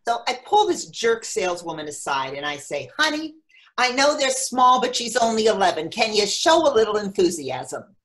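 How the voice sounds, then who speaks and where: A woman's voice: mid-range voice, sing-song, normal speed, fairly bright tone. A woman playing an eager, protective, overinvested mother in a comic monologue reading. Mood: indignant. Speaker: actor in a readers theatre.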